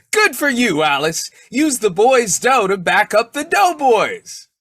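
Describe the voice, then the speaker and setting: An actor playing a boyish, wheedling, wisecracking husband in a 1940s-style radio comedy, with a folksy, exclamatory delivery. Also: male voice, very high voice, big pitch swings, slow pace, a bit bright, dry recording, clean studio sound, full character voice. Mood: wry, teasing.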